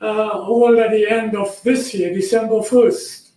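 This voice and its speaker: Slow, high-voiced elderly man, echoey. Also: steady tone, a crowd in the background. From Trinidad. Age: 80s. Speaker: guest, recovered patient. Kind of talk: conversational interview answers.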